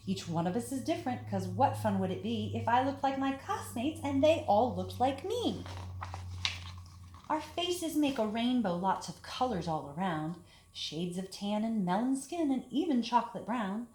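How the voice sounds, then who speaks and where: A woman leading a children's storytime, talking and reading aloud in simple, deliberate phrases meant for young children. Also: on the high side, big pitch swings, a little mellow. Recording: echoey room with background hiss.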